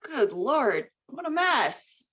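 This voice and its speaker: Woman playing concerned mother, lightly high voice. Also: sing-song, slow, fairly bright tone, slightly rough, heavily acted. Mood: surprised. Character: Joyce, concerned mother of a boy. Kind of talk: amateur table read acting.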